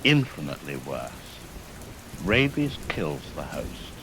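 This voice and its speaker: An actor playing a grave, erudite virologist in a radio drama, speaking formally and deliberately with weighty pauses and cold fatalism. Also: male voice, rumbling low, sing-song, exaggerated voice. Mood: cold, grim. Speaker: expert guest. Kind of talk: scripted radio drama interview. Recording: hiss, rain and thunder noise. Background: rain, thunder, hiss.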